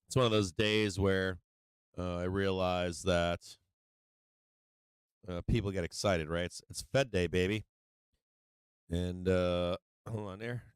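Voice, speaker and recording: A man's voice: low voice, steady tone, takes it slowly, pauses often, clean tone, dry recording, clean studio sound. A man hosting a livestream, chatting casually and informally with viewers, his voice slightly worn. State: voice starting to go. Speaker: livestream show host.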